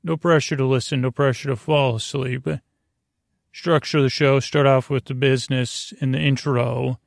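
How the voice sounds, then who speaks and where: A man with a creaky voice hosting a sleep podcast, rambling in a lulling, meandering, halting way. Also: medium pitch, fairly even intonation, dry recording, studio quality. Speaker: podcast host.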